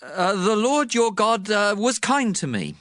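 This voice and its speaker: A man's voice: high-pitched, big pitch swings, unhurried, fairly bright tone, dry recording, clean studio sound, heavily acted. An actor playing a sly younger son deceiving his father, speaking hesitantly in a dramatized scripture story. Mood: nervous, deceitful.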